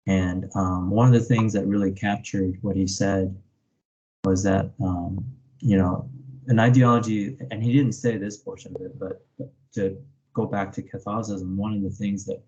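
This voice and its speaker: A man's voice: sits low, mellow. A man speaking reflectively in an interview over a video call, searching for his words and often checking in with "right?". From born in Vietnam, grew up in America from age five. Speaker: interview guest, spiritual practitioner. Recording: dull, lo-fi online call audio.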